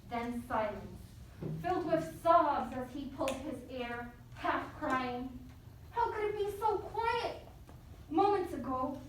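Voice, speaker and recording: A woman teacher speaks in a fairly high voice, leading her pupils and reading to them with an encouraging manner. The sound is heavily reverberant and a little distant from the mic, with faint noise. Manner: encouraging, addresses pupils as friends. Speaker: elementary school teacher. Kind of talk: leading a class and reading a story aloud.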